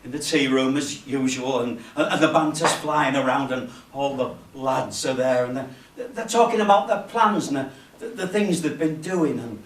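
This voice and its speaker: A man's voice: medium pitch, expressive, normal speed, slight background noise, close to an everyday voice. An actor playing a nervous, lonely, unassuming man who stammers and halts through a self-deprecating comic stage monologue. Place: cafe bar performance space. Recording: echoey room, distant camera microphone.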